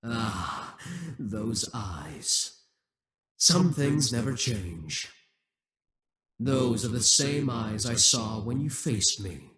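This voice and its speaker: A possessive demon king, played by a man, taunts his captive in a slow, drawn-out delivery. His voice sits at an ordinary middle pitch and turns mocking and menacing by turns. It is a scripted audio roleplay. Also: lively intonation, a bit bright.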